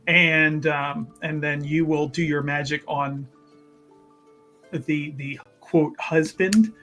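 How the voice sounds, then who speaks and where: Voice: medium pitch, fairly even intonation, slow pace, a bit bright. A tabletop player voicing a scheming, influential fixer with hesitant, repetitive, reassuring patter. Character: scheming, persuasive, influential fixer talking an accomplice round. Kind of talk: improvised in-character tabletop roleplay. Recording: music under the voice.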